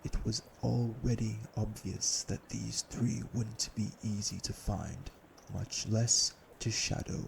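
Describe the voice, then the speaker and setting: Cold, imperious vampire patriarch played by a man: very gravelly, slow and measured, formal menace for audio drama. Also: fairly low pitch, fairly even intonation, a little hiss or hum, rough recording. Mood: stern, angry. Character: cold, commanding elder male vampire brother, menacing. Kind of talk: audio roleplay drama acting.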